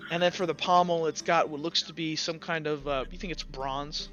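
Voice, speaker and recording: A man running a tabletop game as game master, improvising descriptions haltingly and repeating words as he searches for them. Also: fairly high pitch, fairly even intonation, fairly bright tone, dull audio. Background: music.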